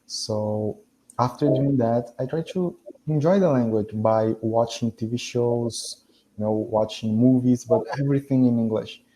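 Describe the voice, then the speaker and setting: A man from Brazil whose first language is Portuguese, talking with his tutor over a video call. He is earnest and agreeable, with thoughtful pauses. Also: mid-range voice, warm tone, slight background noise, rough recording. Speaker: language student. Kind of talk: casual conversation lesson.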